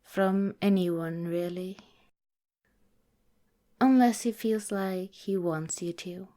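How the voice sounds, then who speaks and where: A woman playing a protective vampire girlfriend in an ASMR roleplay, speaking softly and deliberately, partly in a whisper, earnest and cautioning. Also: mid-range voice, steady tone, natural voice. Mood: cautioning, serious. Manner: soft, deliberate, breathy whispering in places.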